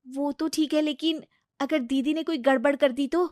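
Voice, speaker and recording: Woman playing anxious young sweetheart, high and plaintive, earnest, family moral tale. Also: steady tone, moderate pace, a little mellow, no echo, studio quality, natural voice. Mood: worried. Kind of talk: Hindi family moral story dramatization.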